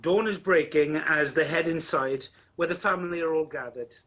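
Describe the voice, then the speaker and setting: A man's voice: a little high, normal speed, a bit bright. A man acting as the storytelling narrator of an amateur Christmas radio play, reading a script. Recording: noisy home video-call recording.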